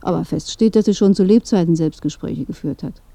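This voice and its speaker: A woman's voice: lowish voice, brisk, no echo. An actress playing a dead old woman in a radio play, chatty, knowing and inquisitive. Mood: gossipy. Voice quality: dark, creaky. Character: dead old woman, curious gossip in a grave. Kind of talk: radio drama dialogue.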